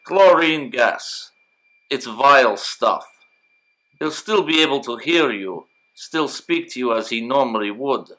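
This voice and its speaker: An actor playing a brusque, cryptic Russian military hospital medic in an audio drama, speaking in a formal, measured, clipped way. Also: male voice, medium pitch, expressive, pushed and bright, rough recording. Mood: grave, measured.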